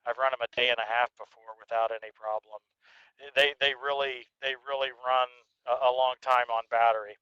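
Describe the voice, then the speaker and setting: A man giving a casual, explanatory product review as host, in a halting way with check-in questions, recorded through an intercom headset microphone. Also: medium pitch, level tone, smooth, no room sound, highs cut off.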